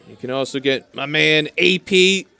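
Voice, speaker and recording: Higher-pitched, clear-voiced male cricket commentator. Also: moderate pace, pushed and bright, no echo. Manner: enthusiastic, talkative, explanatory. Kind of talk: live sports commentary with chatty asides.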